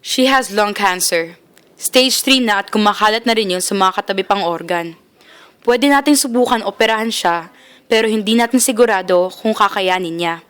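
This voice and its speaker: A woman's voice: medium pitch, moderate pace, a bit bright, smooth, natural voice. A young woman with a Filipino accent playing a grave, formal doctor in an amateur radio drama. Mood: grave, regretful. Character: grave, formal doctor delivering frank medical news.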